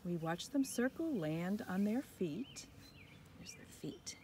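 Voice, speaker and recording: Woman, children's read-aloud, unhurried pace, expressive delivery, outdoor recording with birdsong and background noise. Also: mid-range voice. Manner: expressive, addressing young children, with whispered asides. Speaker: teacher reading a picture book aloud. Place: outdoors in a front yard under a tree.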